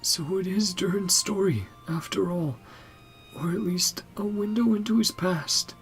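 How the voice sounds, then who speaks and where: A woman's voice: mid-range voice, a little slow, soft background music. A woman streamer giving casual game commentary and reading subtitles aloud in murmured, fragmentary asides.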